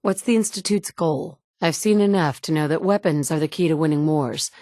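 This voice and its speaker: A woman's voice: low voice, normal speed, warm tone, no echo, close to an everyday voice. A woman voicing a blunt, dry-witted survivor. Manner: direct, matter-of-fact. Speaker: player character. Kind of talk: video game dialogue. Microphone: close studio mic.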